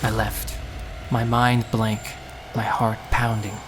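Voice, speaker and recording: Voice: mid-range voice, little pitch movement, takes it slowly, music in the background, lightly acted. An actor playing an anxious, self-doubting, idealistic young thief who narrates their own story earnestly and intensely in an audio drama. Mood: grieving, bitter.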